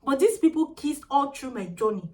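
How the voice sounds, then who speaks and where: High, small-voiced Nigerian woman. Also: fairly quick. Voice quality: small, tiny-sounding voice. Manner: animated, chatty, mixes in pidgin phrases. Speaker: vlogger. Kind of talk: casual storytelling vlog.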